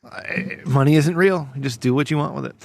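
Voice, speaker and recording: A man's voice: fairly high pitch, fairly quick, gravelly, dry recording. A man hosting a casual group podcast, joking and swearing freely. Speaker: podcast host. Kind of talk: casual chat.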